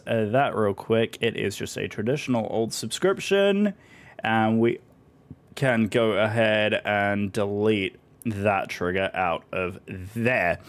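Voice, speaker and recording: A British man, hoarse from a voice that is still recovering, chatting casually and effusively with viewers on a live stream. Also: fairly low pitch. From Britain.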